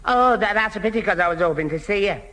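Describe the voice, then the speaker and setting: A man's voice: high-pitched, expressive, fast talker, bright, forceful tone. An actor playing a dim, flustered, lovesick young man who stammers and repeats himself in a radio sitcom. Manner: stammering, muddled repetition. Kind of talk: old-time radio sitcom acting. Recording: noisy, reverberant 1970 radio recording.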